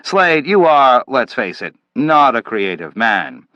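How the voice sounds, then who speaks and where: A man doing a brusque, intense agency boss who talks in sharp, emphatic bursts. His low voice comes across dry and close, with no room around it. Impatient and clipped, like a man short on time. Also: expressive, measured pace, no echo, lo-fi sound.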